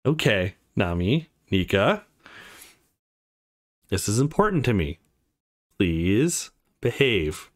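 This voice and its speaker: A man voicing a polite, caring, anxious woman in a visual novel, with a gently pleading, motherly manner. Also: fairly low pitch, sing-song, takes it slowly, pauses often, heavily acted.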